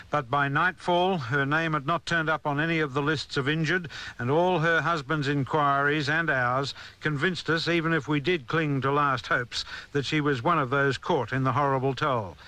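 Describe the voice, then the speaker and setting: News commentator: Australian male, fairly high and forceful voice, solemn and measured radio delivery. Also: fairly even intonation, smooth, no room sound.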